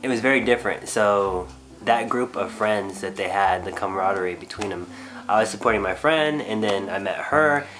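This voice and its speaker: A man in his thirties recalling how he met his wife, speaking in a halting casual way with many restarts and fillers. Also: on the low side, music in the background. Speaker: guest, host's husband. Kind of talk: casual recollection.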